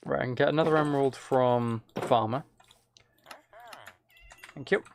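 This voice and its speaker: Man, game streamer, mid-pitched, fry-edged voice, casual commentary, musing aloud, chatty asides, faint background noise. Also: moderate pace. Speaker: live streamer. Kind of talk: casual gameplay commentary and chat.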